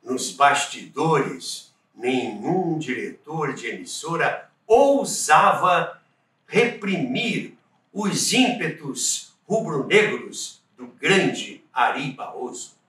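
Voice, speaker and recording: A man's voice: fairly high pitch, big pitch swings, drawn-out words, a bit bright. A Brazilian man narrating radio history from a script, with theatrical storytelling and chatty asides. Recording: echoey room, distant mic.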